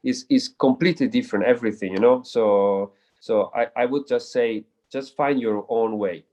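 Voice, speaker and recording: A man's voice: mid-range voice, moderate pace, darkish tone. A man speaking as an air race pilot in a casual interview, earnest and reflective, who often stumbles and repeats words while searching for them.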